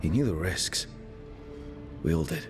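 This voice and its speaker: A blunt young hero who speaks in a matter-of-fact way in a fantasy game. His voice sits low. Clear music plays behind him. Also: sing-song, unhurried, full character voice. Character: blunt young man hero, team leader. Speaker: player character. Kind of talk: video game voice acting.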